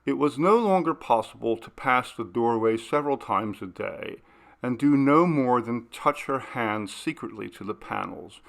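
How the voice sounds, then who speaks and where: A man narrating a classic ghost story in a measured, unhurried reading. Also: mid-range voice, vocal fry, fairly bright tone. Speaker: audiobook reader. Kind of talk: scripted narration.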